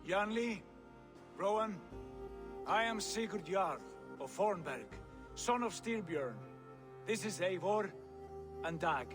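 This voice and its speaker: A man's voice: high voice, lively intonation, slow pace, long pauses, music in the background. A man playing a commanding, welcoming Norse clan leader in a video game, with stately speech. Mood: proud, welcoming. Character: commanding Norse jarl, proud but welcoming Viking leader. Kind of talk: video game cutscene acting.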